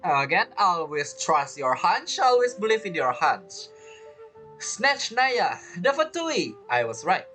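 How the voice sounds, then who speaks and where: A man's voice: high-pitched, sing-song, slow, pushed and bright. A man giving casual let's-play commentary, reading game text aloud and repeating himself as he goes. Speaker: gaming streamer. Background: game music.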